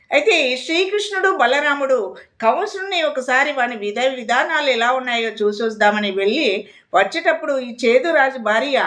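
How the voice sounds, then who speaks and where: A woman's voice: on the high side, a bit bright. A woman storyteller telling a moral tale expressively, speaking directly to her listeners.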